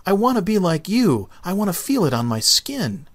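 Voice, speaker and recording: A man's voice: high voice, very animated, normal speed, voice pushed up. A man voicing an eager, dreamy little seed boy in a children's storybook, full of wide-eyed yearning. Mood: adoring. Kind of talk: children's storybook read-aloud.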